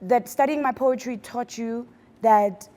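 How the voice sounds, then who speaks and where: A woman in her thirties from the US and South Africa, a guest talking in an animated casual way with frequent restarts. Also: fairly high pitch, a little slow, long reverb tail. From born in the US, raised in South Africa. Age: 30s. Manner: animated, laughing, trailing restarts. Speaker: guest, poet. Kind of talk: casual chat.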